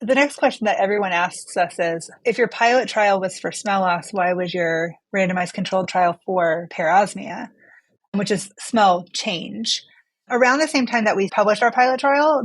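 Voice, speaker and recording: A woman's voice: medium pitch, dry recording, poor audio. A woman physician being interviewed as a study author, explaining research in a conversational way and posing questions she then answers.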